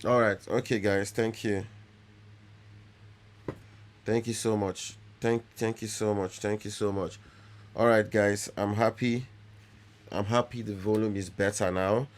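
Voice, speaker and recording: A man with a fairly low voice leads a live photo-editing tutorial from his computer. He talks loosely, repeats words and restarts his sentences as he clicks through the software. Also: fairly even intonation, a little slow, long pauses. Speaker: photography instructor.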